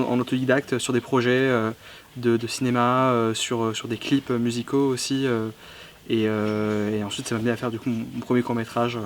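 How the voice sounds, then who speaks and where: A young man from the south of France, a film director, answering interview questions at a film festival, halting with many fillers and restarts. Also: medium pitch, slight background noise.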